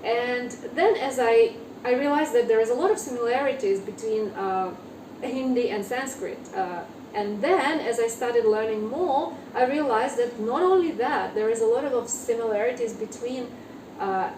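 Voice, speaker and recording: A fairly high-voiced woman from Ukraine, her first language Ukrainian, talking as a guest in an interview. She pauses often and fills gaps with small hesitations. The recording sounds echoey and distant, with steady noise behind her. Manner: hesitant, many fillers. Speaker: guest author. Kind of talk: interview conversation. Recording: echoey room, distant mic, noisy.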